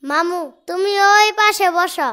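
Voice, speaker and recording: Cartoon casting: cheeky, stubborn small boy, Bangladeshi accent, extremely high and sharp voice. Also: a little slow, full character voice. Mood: insistent. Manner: bold, argumentative, insistent.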